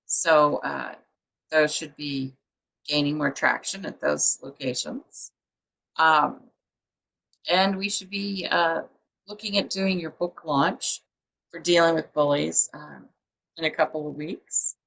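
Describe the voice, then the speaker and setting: A woman host with a low, forceful voice talks her way through a book show on a video call. She rambles along chattily and leans on plenty of "uh"s and "um"s. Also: steady tone, slow, vocal fry, rough recording. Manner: chatty, rambling, many fillers. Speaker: publisher hosting a book show. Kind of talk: casual interview chat.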